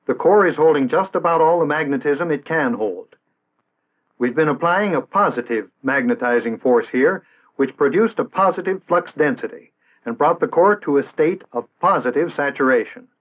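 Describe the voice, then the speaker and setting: An American man reading scripted instructional narration in a measured, formal, didactic way, in the style of an early 1960s training film. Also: on the high side, expressive. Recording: tinny band-limited vintage 1960s film soundtrack.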